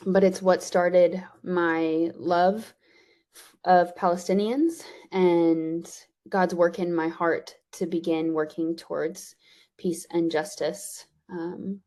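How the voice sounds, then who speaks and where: Woman webinar host, slow pace, warm tone, casual fillers. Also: fairly low pitch. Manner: relaxed, filler-heavy, friendly. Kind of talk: hosting a webinar.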